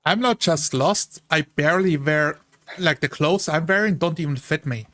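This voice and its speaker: Higher-voiced man, a tabletop player. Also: moderate pace, a bit bright. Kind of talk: casual joking chat.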